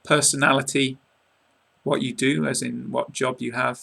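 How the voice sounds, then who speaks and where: English man teaching, gravelly bright voice. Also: mid-range voice, flat delivery. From England. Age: 20s. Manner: clear, measured teaching delivery with casual asides. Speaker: accent teacher. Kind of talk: online lesson to camera. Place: small room indoors. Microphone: webcam at close range.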